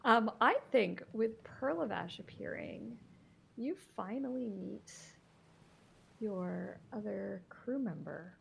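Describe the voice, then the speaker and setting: Gravelly-voiced woman game master. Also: medium pitch, expressive, dull audio, a little hiss or hum. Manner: halting, descriptive, many fillers and restarts. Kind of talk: narrating a tabletop game.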